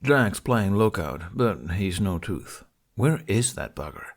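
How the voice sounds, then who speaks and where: A man's voice: low voice, sing-song, slow, full character voice. Man voicing a grumbling, blunt fantasy ally. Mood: exasperated. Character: gruff, exasperated male fantasy ally.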